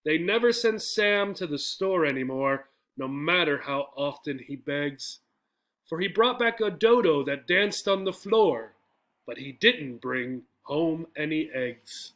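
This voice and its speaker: A man's voice: on the high side, lively intonation, a little slow, fairly bright tone. A man teaching English, explaining step by step in a didactic way with frequent questions and check-in tags. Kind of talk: explanatory English lesson with poem reading.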